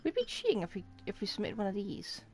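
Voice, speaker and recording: A woman's voice: medium pitch, wildly swooping pitch, fast, darkish tone, soft background music. A woman game streamer giving casual live commentary, thinking aloud as she plays.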